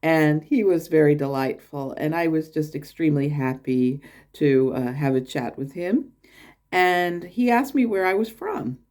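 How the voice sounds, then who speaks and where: A woman's voice: expressive, a little slow, darkish tone. An American woman telling a casual travel story in her vlog, rambling with fillers and restarts. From United States. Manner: acts out quoted people in a higher voice. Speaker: channel host.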